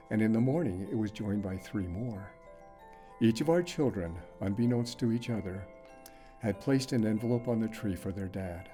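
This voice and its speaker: A man reading a sentimental story aloud as scripted narration, in a measured, expressive storytelling manner. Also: on the low side. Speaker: story reader.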